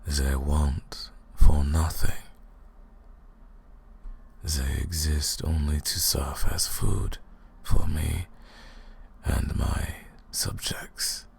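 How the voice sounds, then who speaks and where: Very deep, gravelly vampire lord. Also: male voice, flat delivery, a little hiss or hum, exaggerated voice. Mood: cold condescension. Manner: slow, menacing, taunting, theatrical. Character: ancient male vampire lord, cold, mocking, condescending captor. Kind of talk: scripted ASMR roleplay monologue.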